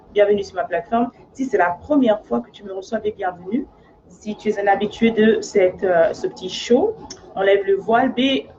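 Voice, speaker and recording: A woman's voice: medium pitch, a little mellow, muffled recording, a little hiss or hum. A woman from Africa who works as a life coach, talking in an earnest, casual monologue and often checking in with her listener.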